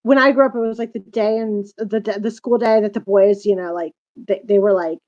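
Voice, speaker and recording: A woman's voice: medium pitch, fairly even intonation, brisk, a little mellow. A woman hosting a casual chat, talking in long rambling turns full of asides. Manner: chatty, rambling with many asides. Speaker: host. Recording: dull lo-fi sound.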